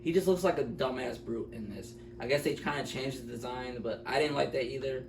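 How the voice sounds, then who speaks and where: A man's voice: mid-range voice, fairly quick, gravelly. A man co-hosting a casual movie review chat, speaking informally and with strong opinions, often repeating himself to make his point. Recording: roomy, distant mic with faint noise.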